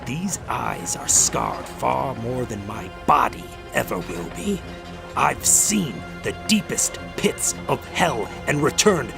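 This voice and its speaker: A man's voice: medium pitch, gravelly, exaggerated voice. An actor playing a stern male monster hunter in a radio drama, preaching with pompous, dramatic delivery. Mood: stern, proud. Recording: prominent music bed.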